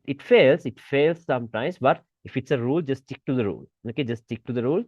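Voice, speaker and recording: A man's voice: mid-range voice, dry recording. Man teaching informally, slightly muffled dry recording. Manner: repetitive, checks understanding with rhetorical questions. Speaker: forex trading instructor. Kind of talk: informal screen-share tutorial commentary.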